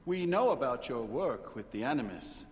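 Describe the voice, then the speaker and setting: An actor playing a calm, persuasive middle-aged recruiter in a video game. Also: male voice, mid-range voice, normal speed, natural voice. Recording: roomy game audio with faint noise.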